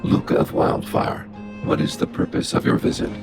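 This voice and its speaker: An actor playing a huge, stern, logical robot boss in a video game, gravelly and speaking in clipped, formal, computer-like phrases. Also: male voice, moderate pace, music in the background, lightly acted. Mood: cold, analytical.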